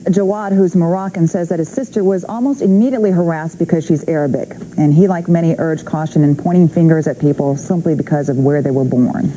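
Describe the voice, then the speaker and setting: American lady news anchor, kinda low voice, faint hiss on old tape. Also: fairly quick, hardly any pauses, mellow, poor audio. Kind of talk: scripted TV news delivery.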